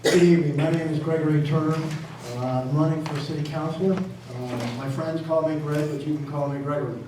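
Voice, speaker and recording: A man's voice: a little high, fairly even intonation, a little fast. An older man from Massachusetts giving an informal, hesitant campaign introduction with wry humor. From Massachusetts, United States. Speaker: city council candidate, attorney. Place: large indoor public forum room. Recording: echoey hall, distant mic, background noise.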